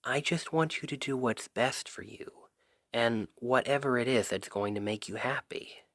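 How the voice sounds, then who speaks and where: A man acting a shy, earnest best friend in an intimate ASMR roleplay, speaking softly and haltingly. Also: medium pitch, dry recording, studio quality. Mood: earnest, selfless. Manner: hesitant, halting, soft-spoken. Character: shy, earnest male Cupid best friend confessing love.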